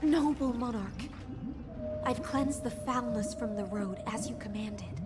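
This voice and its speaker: Woman doing a sad loyal siren warrior for a game, talks real slow n poetic, big reverb. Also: on the high side, very noisy, natural voice. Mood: solemn devotion. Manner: solemn, measured, poetic delivery. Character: wandering sea siren swordswoman, melancholic, solemn and loyal. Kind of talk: dramatic scripted game voice acting. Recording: echoey reverb under loud music.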